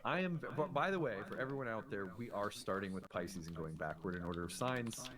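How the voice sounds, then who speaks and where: A man with a fairly low voice presents a talk show in a conversational, earnest style. He explains things plainly as host, and a clear crowd murmur sits behind him. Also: animated, brisk.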